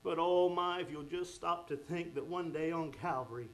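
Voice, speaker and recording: A man's voice: fairly high pitch, normal speed, a bit bright, poor audio. A man preaching a sermon, fervent and exclaiming "oh my" and "amen" as he goes.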